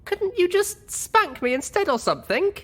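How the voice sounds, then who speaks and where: A bashful young pony heroine from a storybook adventure, acted out by a man. The voice leaps far up in pitch and sounds naive, eager and easily flustered. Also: big pitch swings, moderate pace. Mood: pleading.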